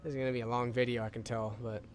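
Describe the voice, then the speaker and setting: A man's voice: medium pitch, little pitch movement, very fast, slight background noise. A man giving casual, rambling let's-play commentary, sounding tired with a worn voice, repeating phrases to himself. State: tired, voice wearing out. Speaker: gaming video creator.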